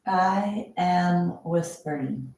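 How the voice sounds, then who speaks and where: A woman teaching yoga breathing as a guided meditation, speaking calmly and without hurry in drawn-out instructive phrases. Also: lowish voice, vocal fry. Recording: very echoey room, distant microphone.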